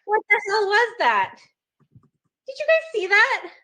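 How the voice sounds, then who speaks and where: A woman's voice: very high-pitched, huge pitch swings, measured pace, pushed and bright, full character voice. A woman playing a brash, volatile young psychiatric patient in a horror script table read. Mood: shaken, panicked. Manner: taunting and cocky, breaking into screaming panic. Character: Sasha, brash, mean, unhinged young female psychiatric patient.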